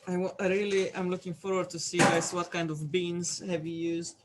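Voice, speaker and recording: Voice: medium pitch, flat delivery, normal speed, background noise. An art class instructor from Romania, talking viewers through a craft project in a casual, hesitant way with lots of fillers. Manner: hesitant, many fillers, chatty asides to viewers.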